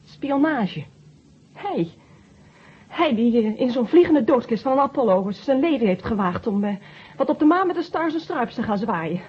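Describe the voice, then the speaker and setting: A woman acting in a 1970 radio drama as a guarded, worried astronaut's wife, speaking haltingly and with feeling on an old recording. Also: a little high, animated, husky, dull audio. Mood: distressed, bitter.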